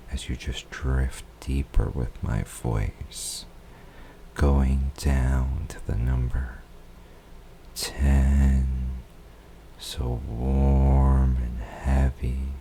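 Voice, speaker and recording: A man guiding a hypnosis countdown in a soft, soothing voice, drawing words out and slipping into whispers. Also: very deep voice, slight background noise. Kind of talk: slow guided hypnosis countdown.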